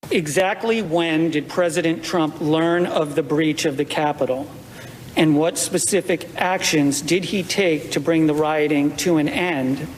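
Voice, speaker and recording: A man's voice: fairly high pitch, a little slow, a little hiss or hum. A man reading questions aloud formally, as a clerk would in a legislative session. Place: large legislative chamber. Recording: echoing large chamber.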